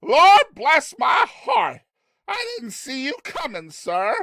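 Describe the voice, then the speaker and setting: A man's voice: very high voice, huge pitch swings, slow, creaky, pushed and bright, dry recording, clean studio sound, voice pushed up, exaggerated voice. A man voicing a startled, apologetic working-class man in a storybook, exclaiming and flustered.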